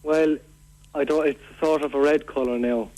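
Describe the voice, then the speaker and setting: A man's voice: on the high side, fairly even intonation, moderate pace, darkish tone, close to an everyday voice, rough recording. A man with a County Waterford Irish accent playing an aggrieved, rambling local who earnestly complains as a caller on a radio phone-in. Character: aggrieved, rambling local man fed up with food-throwing youths. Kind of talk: prank call to a local radio show.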